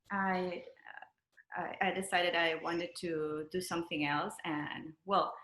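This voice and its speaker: A woman from Peru with a Peruvian Spanish accent, speaking casually and haltingly as she searches for words. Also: on the low side, pushed and bright. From Lima, Peru. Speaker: interview guest, dancer and choreographer. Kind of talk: casual conversational interview. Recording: roomy echo.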